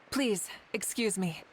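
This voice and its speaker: A woman playing a solemn, perceptive leader in a video game, speaking in a measured, grave way. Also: mid-range voice, a little hiss or hum.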